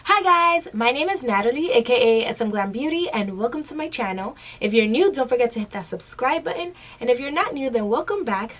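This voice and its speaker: A young woman with a raspy, hoarse voice after losing it, chatting casually through a makeup tutorial and giggling now and then. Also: fairly high pitch, animated, fairly quick, few pauses, a bit bright, faint music behind. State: hoarse, lost voice. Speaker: beauty vlogger.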